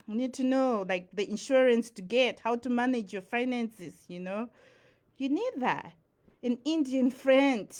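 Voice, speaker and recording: A woman's voice: a little high, a little mellow. A young woman from Zimbabwe talking casually to camera in a rambling, chatty way, full of fillers and asides. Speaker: vlogger. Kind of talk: casual talking-head monologue.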